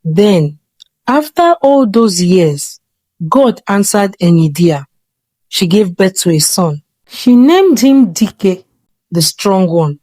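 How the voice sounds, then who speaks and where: A woman narrating a scripted folktale in a measured, dramatic storytelling manner. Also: medium pitch, expressive, mellow, dry recording.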